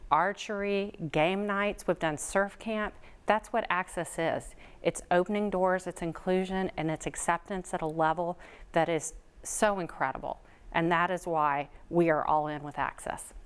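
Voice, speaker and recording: Low, clear-voiced woman, earnest speaker. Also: fairly even intonation, a little slow. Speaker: board secretary and event chair. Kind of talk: heartfelt testimonial speech at a fundraiser.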